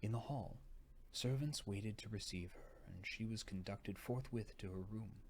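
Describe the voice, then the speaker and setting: A man with a fairly low voice narrates an old gothic tale. His delivery is theatrical and measured as scripted storybook reading. Faint noise runs behind him. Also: darkish tone. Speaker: audiobook reader.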